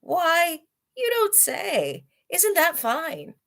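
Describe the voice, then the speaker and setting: A hungry, menacing tiger from a children's storybook, acted out by a woman reader. It taunts its prey and speaks deliberately, relishing the threat. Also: very high-pitched, wildly swooping pitch, gravelly, a bit bright, voice pushed up, exaggerated voice. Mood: intrigued, delighted. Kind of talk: storybook read-aloud.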